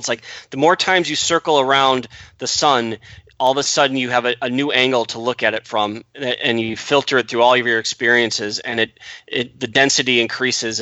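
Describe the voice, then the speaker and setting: A man with a bright, sharp voice muses at length about rereading great books. The sound is dry and a little dull, as if from a modest mic. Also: medium pitch, no room sound. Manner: thoughtful, rambling. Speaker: book club member. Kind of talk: casual discussion.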